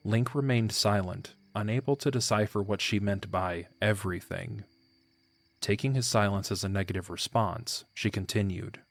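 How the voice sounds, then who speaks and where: A man narrating a scripted fantasy story in a measured, literary storytelling manner. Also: fairly low pitch, faint music behind. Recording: faint background ambience noise.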